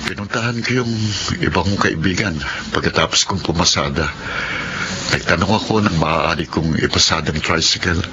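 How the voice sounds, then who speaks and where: An actor playing a hardworking older brother who drives a tricycle, speaking calmly and reassuringly in a Filipino radio drama. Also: male voice, low-pitched, normal speed, lightly acted. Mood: gentle. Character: hardworking older brother supporting his siblings. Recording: dull, noisy radio drama audio.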